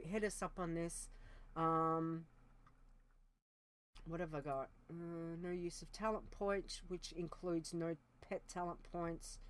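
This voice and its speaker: A woman's voice: on the low side, a bit bright. A woman co-hosting a podcast in casual chat, thinking aloud with frequent ums and restarts. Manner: hesitant, thinking aloud with many fillers. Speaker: podcast co-host.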